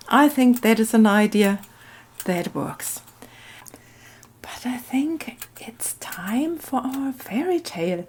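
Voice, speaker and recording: A woman hosting a craft video, talking in a musing, unhurried storytelling way. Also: on the high side, a little mellow. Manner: musing, hesitant, thinking aloud. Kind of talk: casual craft narration and slow storytelling.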